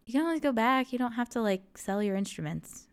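A woman's voice: fairly high pitch, lively intonation, a little fast, smooth. A young adult woman hosting a solo podcast, talking in an earnest, encouraging way. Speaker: podcast host. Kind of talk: reflective solo podcast monologue.

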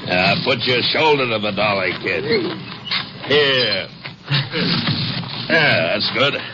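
A man's voice: fairly low pitch, animated, slow pace. An actor playing a burly, cocky, bullying logging foreman in a 1950s radio western, with bossy, folksy, ungrammatical talk. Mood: bossy, menacing. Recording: noisy, muffled old radio recording.

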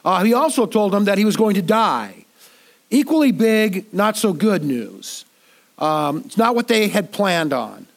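This pastor preaches with a fairly high voice that sounds stuffed up and slightly nasal from a head cold. He talks to the congregation conversationally, stumbling over and repeating words as he gathers his thoughts. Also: very animated, a little slow, vocal fry. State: congested from a cold. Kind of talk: sermon.

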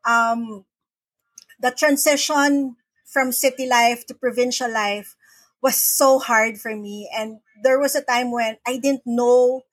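A woman's voice: a little high, fairly even intonation, slow pace, dry recording. A middle-aged woman from the Philippines, a podcast guest talking earnestly and often repeating words as she starts a sentence. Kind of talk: casual interview talk. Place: home in the Philippines.

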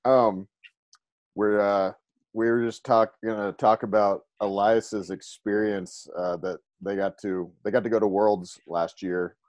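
A man's voice: on the low side, level tone, unhurried, darkish tone, no echo. A man hosting a casual interview, joking and stumbling over his words as he asks questions. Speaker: interview host.

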